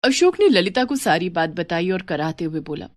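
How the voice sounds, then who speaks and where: A woman's voice: on the low side, big pitch swings, normal speed, no echo, clean studio sound. A woman narrating a scripted story as its storyteller.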